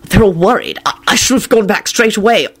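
A woman voicing a weary, gentle man who speaks quietly and mutters, in a storybook reading. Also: medium pitch, animated, a little fast, husky, pitched above the performer's own voice.

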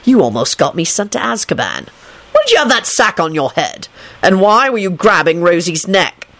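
A woman's voice: fairly low pitch, sing-song, normal speed, a bit bright, exaggerated voice. A woman voicing an amiable, wry and unruffled British man in a story, with a pleasant, teasing manner. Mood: insolent, amiable. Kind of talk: dramatised story reading.

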